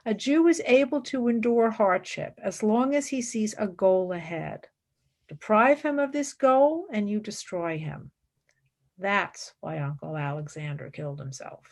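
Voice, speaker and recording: A woman's voice: mid-range voice, lively intonation, a little slow. Woman acting an intense young intellectual, widely swinging intonation. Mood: grave, intense. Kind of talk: radio play acting.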